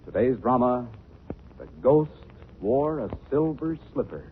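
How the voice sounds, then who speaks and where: A man's voice: mid-range voice, animated, measured pace, soft background music. A man giving grave, theatrical scripted narration in 1940s radio style. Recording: narrow-band 1940s radio recording, faint noise.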